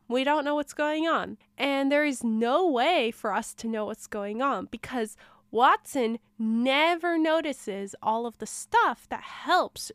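A woman hosting a book review show in a chatty, enthusiastic way, her voice a little rough from a cold. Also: a little high, fairly bright tone, no echo. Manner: chatty, enthusiastic, self-deprecating asides. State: slightly rough voice from a cold and allergies. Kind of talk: casual book talk.

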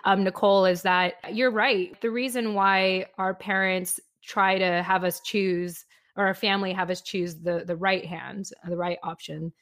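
A woman's voice: medium pitch, fairly even intonation, a little slow, fairly bright tone, no room sound. A woman hosting a podcast, talking reflectively and rambling a little with fillers. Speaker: podcast host.

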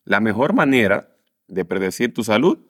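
A man with a Dominican accent, a guest co-host reading a friendly podcast call to action and spelling things out slowly. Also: lowish voice, very animated. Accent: Dominican Spanish.

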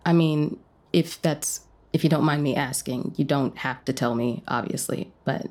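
Woman playing a low-pitched, dorky, playful woman. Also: normal speed, darkish tone. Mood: awkward, apologetic. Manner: rambling, hesitant, teasing. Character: dorky, playful, self-deprecating woman, chatty and curious. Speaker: voice actor in a romance audio play. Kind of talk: naturalistic radio play dialogue.

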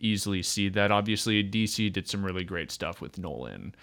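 A man in his thirties hosting a podcast interview, with a low, deep voice and a smooth, resonant tone. He talks in an easygoing, chatty and enthusiastic way. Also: expressive, fairly quick, fairly bright tone. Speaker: podcast host. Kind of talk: casual interview chat.

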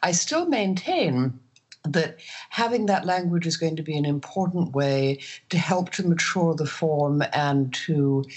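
A woman speaking as a producer on a discussion panel, articulate, formal and academic in her phrasing, with a husky voice. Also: sits low. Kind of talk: thoughtful roundtable discussion.

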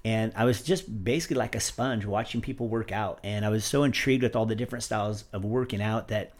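A man's voice: fairly low pitch, quick pace. A man, a gym owner chatting casually as a podcast guest, easygoing and full of uh's. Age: 40s. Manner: easygoing, many fillers and uh's. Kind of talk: casual interview chat.

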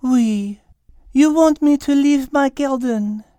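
A man's voice: extremely high pitch, takes it slowly, warm tone, no room sound, studio quality, voice pushed up. A man voicing a petite, intellectual female cat with a French accent, precise and composed, in an animal storybook. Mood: poised, refined. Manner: precise, refined, sprinkles in French words.

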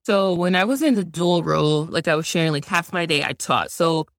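A woman's voice: lowish voice, a little fast, no room sound. Guest voice: young woman, native Haitian Creole speaker, earnest and chatty, dry recording. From Georgia, US. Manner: earnest, explanatory, uses "like" often. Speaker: guest, science instructional coach. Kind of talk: conversational interview answers.

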